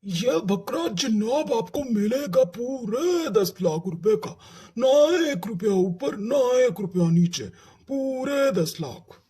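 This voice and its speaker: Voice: mid-range voice, expressive, takes it slowly, dark tone, slightly rough. A performer voicing a proud, stubborn male livestock seller who haggles firmly in a children's cartoon. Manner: firm, emphatic haggling. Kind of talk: Hindi children's cartoon dialogue.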